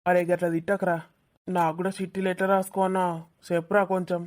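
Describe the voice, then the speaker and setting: A woman's voice: fairly low pitch, flat delivery, fast talker, a little mellow, natural voice. A woman voicing a grumpy, lovelorn young male student in a cartoon village comedy, with a Telangana accent and a complaining, bickering manner. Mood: anxious, complaining.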